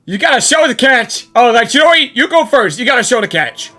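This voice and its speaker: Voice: medium pitch, very animated, fairly quick, sharp tone, faint music behind. A game reaction creator giving casual, exclamatory, slangy commentary and talking back to the characters. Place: game audio playing behind the voice.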